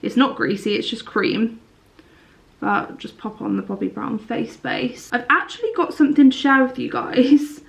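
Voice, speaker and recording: A woman's voice: a little high, normal speed, vocal fry. Bunged-up chatty woman vlogger. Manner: chatty, rambling. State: congested, sniffly from a cold. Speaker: lifestyle vlogger. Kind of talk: casual vlog chat to camera. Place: at home.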